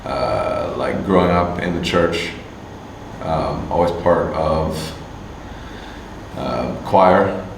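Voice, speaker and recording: A man from Canada answering interview questions casually, halting with many fillers and restarts. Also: a bass voice, gravelly. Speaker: musician and agency owner. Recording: distant mic, echoey room, faint hum.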